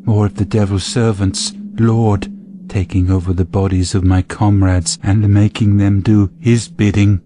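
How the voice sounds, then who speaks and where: An actor playing a devout, delusional soldier praying to himself in a fervent, questioning audio drama monologue. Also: male voice, fairly low pitch, flat delivery, measured pace, hardly any pauses, warm tone, natural voice. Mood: fervent, bewildered. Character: devout, delusional soldier muttering prayers to God. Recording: clear background noise, outdoor ambience.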